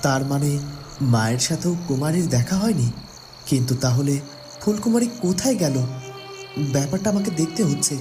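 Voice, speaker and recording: A man's voice: a little high, animated, brisk, long pauses, mellow, soft background music, lightly acted. A man voicing an anxious young man in a fairy-tale cartoon, with a dramatic, questioning delivery. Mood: worried. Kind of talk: Bengali cartoon voice acting.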